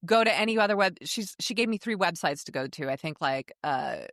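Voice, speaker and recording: A woman in her forties with an American accent speaks as a podcast guest in a lower-pitched voice. She tells her story frankly and casually, slipping into quoted dialogue. The sound is dry, with very little room to it. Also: lively intonation, fairly quick, a bit bright, no room sound. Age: 40s. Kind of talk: candid personal storytelling, casual chat.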